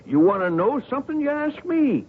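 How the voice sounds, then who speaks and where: A blustering, stubborn working-class dad in a radio drama. His folksy, emphatic delivery swings widely in pitch, over a tinny recording with faint noise. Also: sing-song, brisk. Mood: bullying. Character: domineering, tennis-obsessed working-class father, blustering and stubborn.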